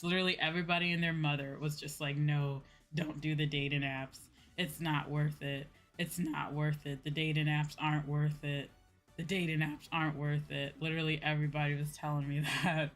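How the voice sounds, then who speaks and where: An American woman chatting casually to viewers as a livestream host, animated and repeating phrases for emphasis. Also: sits low. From United States.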